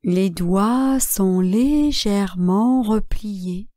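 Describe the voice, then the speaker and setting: A woman guiding a relaxation meditation as a yoga nidra instructor, calm and measured, speaking in short phrases with long pauses. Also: mid-range voice, no room sound, clean studio sound.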